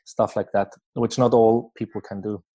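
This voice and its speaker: A man's voice: lowish voice, flat delivery, normal speed, mellow. A man who is not an English speaker, hosting a podcast interview in casual chat, with many fillers, restarts and frequent laughter. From Switzerland. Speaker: podcast host.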